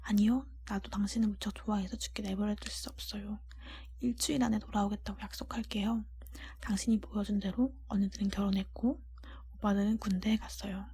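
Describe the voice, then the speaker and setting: A woman voicing a kind-hearted, honest young storybook heroine in a soft breathy whisper, earnest and polite. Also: medium pitch, level tone, fairly quick. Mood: pleading.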